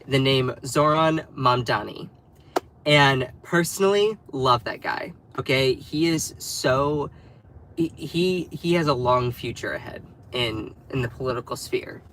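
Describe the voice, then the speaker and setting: A man's voice: medium pitch, fairly bright tone, slight background noise. A man living in North Carolina, sounding a bit nasal as he recovers from a cold, hosting a casual political livestream and talking to his chat. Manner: chatty, addresses the live chat directly. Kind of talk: casual live commentary.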